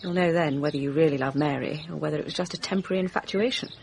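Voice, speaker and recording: An actress playing a composed, formal young gentlewoman hiding hurt in a British radio mystery drama. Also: sits low, rapid-fire, vocal fry, slight background noise. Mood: earnest, resolute. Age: young adult. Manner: controlled, formal, decisive.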